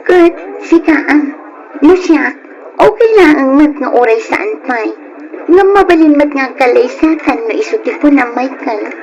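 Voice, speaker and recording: A woman's voice: high voice, expressive, a little slow, a little mellow. A woman playing a sincere, practical young wife in an old-style radio drama. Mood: sincere, tender. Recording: dull, lo-fi old radio recording.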